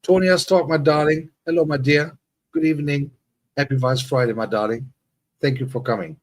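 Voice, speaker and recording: A man's voice: a little high, fairly even intonation, normal speed. A man from Germany, hosting a casual livestream chat and rambling with many restarts and self-deprecating asides. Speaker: livestream host.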